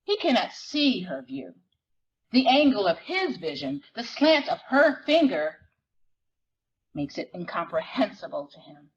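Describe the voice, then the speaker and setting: A woman reading literary fiction dramatically, in measured, deliberate phrases with weighted pauses. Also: medium pitch, very animated, highs cut off. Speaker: dramatic reader at a library performance. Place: live reading at a library event.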